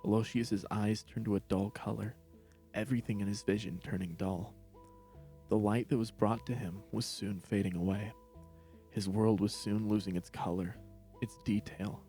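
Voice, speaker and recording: A man's voice: fairly low pitch, dark tone. A man reading scripted story narration as the narrator.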